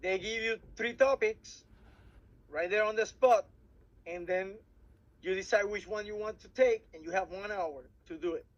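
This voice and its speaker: High-voiced Puerto Rican man briefing cadets. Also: muffled recording. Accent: Puerto Rican Spanish. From Puerto Rico. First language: Spanish. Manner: halting, many restarts and repeated words. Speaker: cadet program instructor. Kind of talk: workshop briefing.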